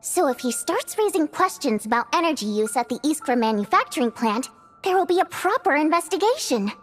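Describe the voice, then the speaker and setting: A bubbly young singer with a sly streak from a video game. Her voice sits very high and swings animatedly as she chirps and coaxes. Soft music plays beneath her. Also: a little fast. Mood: confiding, scheming. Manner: expressive, chirpy, coaxing. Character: bubbly young woman, theater singer and cunning schemer. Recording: music under the voice.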